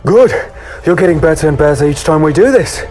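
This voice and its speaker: A man's voice: on the high side, expressive, fairly quick, a little mellow, natural voice. A man playing an easygoing, teasing swordsman hero in an audio drama, speaking and narrating in a wry, conversational way. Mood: playful teasing. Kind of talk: audio drama dialogue and first-person narration. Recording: music bed behind the voice.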